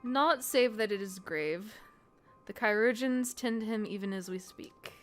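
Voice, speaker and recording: A woman voicing earnest fantasy game characters in a formal, courtly, measured manner. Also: mid-range voice, soft background music, lightly acted. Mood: concerned.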